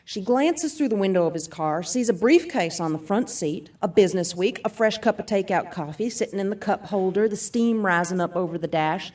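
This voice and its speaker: A woman's voice: medium pitch, animated, a little fast, hardly any pauses, a little mellow, distant mic, lightly acted. An actress playing a brash, upbeat self-defense seminar speaker who addresses her audience emphatically in an audio theatre piece. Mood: dramatic storytelling. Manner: emphatic, peppy motivational-speaker delivery, folksy asides.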